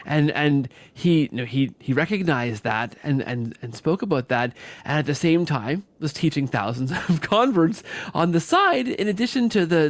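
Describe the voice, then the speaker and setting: A man's voice: mid-range voice, dry recording. A man hosting a conversational podcast interview, talking earnestly in rambling sentences and repeating phrases as he goes. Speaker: podcast host.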